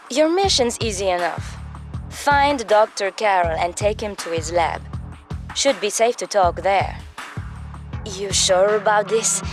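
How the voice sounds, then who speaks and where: A woman's voice: mid-range voice, close to an everyday voice. A woman playing a cool, self-serving mission handler with a wry, laid-back way of talking in a video game. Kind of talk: video game cutscene acting. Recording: music behind, some hall reverb.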